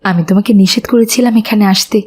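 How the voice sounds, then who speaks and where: A woman playing a humble, worried mother from a poor family in a children's cartoon, gentle and apologetic. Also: medium pitch, fairly quick, husky, natural voice.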